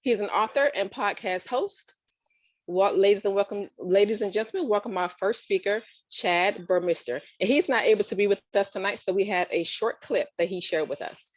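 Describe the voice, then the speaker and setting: A woman's voice: medium pitch, normal speed, no echo. An upbeat woman runs an online conference as its emcee. She sounds eager and a bit playful, and her voice is dry and close to the mic. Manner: upbeat, laughing, enthusiastic introductions. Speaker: master of ceremonies.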